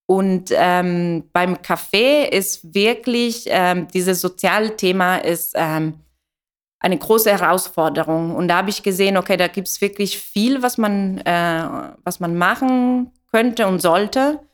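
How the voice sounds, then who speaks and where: A woman's voice: fairly low pitch, takes it slowly. A woman with an Italian accent answering as an interview guest, hesitantly searching for words in a language that is not her first. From Italy. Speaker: interview guest, coffee business founder.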